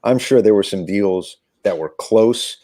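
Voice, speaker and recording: Male sports commentator as interview guest: very low register, dry recording, reflective, conversational delivery with emphatic phrasing. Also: a little slow, dark tone, dry recording.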